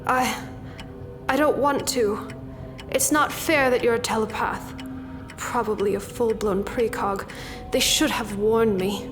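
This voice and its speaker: A woman's voice: a little high, fairly even intonation, a little slow, long pauses, close to an everyday voice. Woman acting a guarded interrogator, heavy reverb. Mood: reluctant. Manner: terse, sardonic, intense. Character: tough, guarded female interrogator judging a convict's appeal. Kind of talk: audio drama acting. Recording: long reverb, music underneath.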